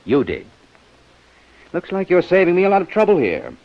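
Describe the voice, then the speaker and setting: A man's voice: high-pitched, wildly swooping pitch, normal speed. A man playing a shrewd, sardonic police inspector in a 1950s radio detective drama, with a dry, probing manner. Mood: sardonic, accusing. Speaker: radio actor. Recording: muffled old-time radio broadcast recording.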